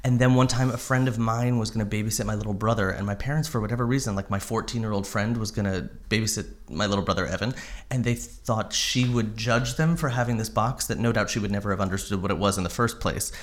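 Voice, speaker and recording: A man's voice: fairly low pitch, fairly even intonation. A man in his thirties from Connecticut, a guest writer telling casual, self-deprecating stories in a studio interview. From Connecticut, USA. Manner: quick, chatty, self-deprecating anecdotes. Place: studio in New York.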